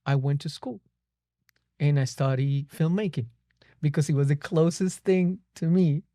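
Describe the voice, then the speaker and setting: A man's voice: fairly high pitch, unhurried, mellow, no room sound, studio quality. A man with a Colombian accent, a native Spanish speaker, telling his story reflectively as a podcast guest. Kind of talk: personal storytelling in a conversation. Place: small podcast studio. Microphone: close boom-arm studio microphone.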